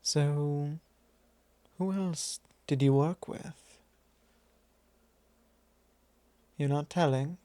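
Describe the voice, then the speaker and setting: A man playing a possessive, teasing vampire lord in an intimate ASMR roleplay, speaking softly in a measured, drawling, formal way. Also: a little high, fairly even intonation, smooth, no room sound. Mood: probing, quietly possessive. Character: possessive male vampire lord, teasing, calmly probing his thrall.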